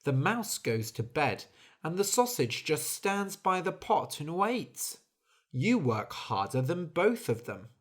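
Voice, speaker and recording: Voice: medium pitch, very animated, slow pace, slightly rough, voice pushed up, exaggerated voice. A reader voicing a curious stranger in a storybook who keeps asking the bird about her home life. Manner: wondering, inquisitive.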